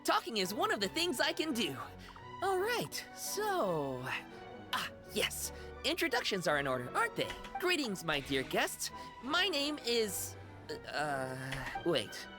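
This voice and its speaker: An actress playing a sleepy, muddled young female concierge in a video game, polite and earnest, with dreamy, halting, theatrical storytelling. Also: on the high side, huge pitch swings. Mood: drowsy, flustered. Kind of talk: scripted video game character dialogue. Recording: game music behind the voice.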